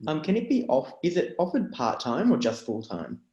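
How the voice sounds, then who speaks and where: A man hosting a live session, briskly reading out audience questions in an upbeat moderator's way. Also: on the high side, sing-song, mellow, dull audio. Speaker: host. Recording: echoey room.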